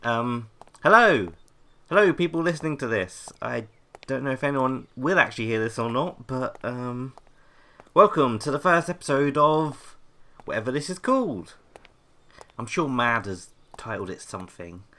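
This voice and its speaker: A man's voice: mid-range voice, lively intonation, moderate pace, bright, forceful tone. Man as a nervy, exasperated young intern. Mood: awkward, hesitant. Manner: nervous, hesitant, self-talking. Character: anxious, exasperated young male intern, lost and sarcastic. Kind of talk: scripted audio comedy drama.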